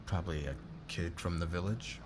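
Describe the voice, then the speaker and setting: Low-voiced, guarded nobleman boss acted by a man. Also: moderate pace, noisy background, natural voice. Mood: weary, confiding. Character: demanding debt-burdened nobleman boss, weary, guarded, wistful. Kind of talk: intimate ASMR roleplay acting.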